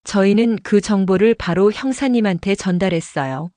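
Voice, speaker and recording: A woman's voice: mid-range voice, moderate pace, no room sound. Woman narrating an audio drama. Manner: steady storytelling narration.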